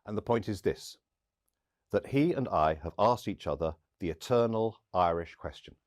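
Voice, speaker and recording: A man delivering a first-person story theatrically, with dramatic pauses and acted-out dialogue. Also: on the low side, lively intonation, no echo, studio quality. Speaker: storyteller and podcast host.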